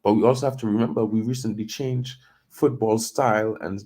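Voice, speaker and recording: Mellow-voiced man hosting football chat. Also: lowish voice, fairly even intonation, a little fast. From Trinidad and Tobago. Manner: chatty, loose, thinks aloud with fillers. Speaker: football news show host. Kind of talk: casual football talk show chat.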